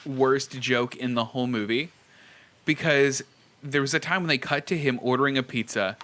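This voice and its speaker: A man co-hosting a podcast, chatting casually about films in an animated, slangy, exclamatory way. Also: mid-range voice, moderate pace. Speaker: podcast co-host.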